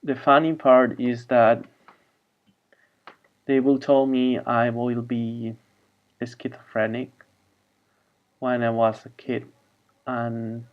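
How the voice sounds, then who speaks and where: A man whose first language is Spanish, talking in a halting, wandering monologue over a video call. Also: mid-range voice, narrow, tinny audio. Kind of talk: rambling monologue.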